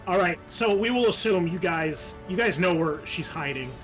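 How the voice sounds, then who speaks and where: Guy game mastering a horror rpg, kinda high voice, casual but vivid, bit of music behind. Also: lively intonation, moderate pace, fairly bright tone, poor audio.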